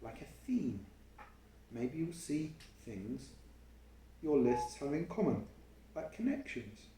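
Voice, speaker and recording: A man's voice: medium pitch, sing-song, slow, mellow, far from the mic, a little hiss or hum. Man reading as encouraging storybook sister, echoey room. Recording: roomy, echoey home recording.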